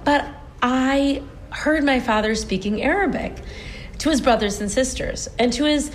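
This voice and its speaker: Earnest, high-voiced woman telling her story. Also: measured pace, a little hiss or hum. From United States. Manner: earnest, animated storytelling with many restarts. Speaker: guest, stage performer. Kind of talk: casual interview chat.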